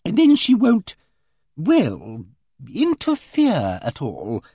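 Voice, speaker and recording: A man's voice: high-pitched, huge pitch swings, slow, dark tone, breathy edge, no room sound, clean studio sound, voice pushed up, exaggerated voice. A man doing a fluttery, genteel elderly spinster. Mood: confiding. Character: fussy, timid elderly spinster lady. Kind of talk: audiobook character voice.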